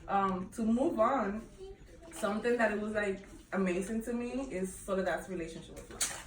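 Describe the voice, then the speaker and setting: A woman's voice: medium pitch, moderate pace. Dominican-accented woman, distant and echoey. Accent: Dominican Spanish. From Dominican Republic. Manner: rambling, many fillers, laughs often. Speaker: book club member. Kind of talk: casual book discussion. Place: bookshop event room. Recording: echoey room, distant mic, background noise.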